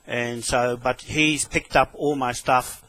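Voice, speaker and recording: A man with a New Zealand accent talking on the telephone in a halting, repetitive, rambling way. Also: medium pitch, fairly even intonation, sharp tone, no room sound. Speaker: caller with a complaint. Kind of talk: phone conversation.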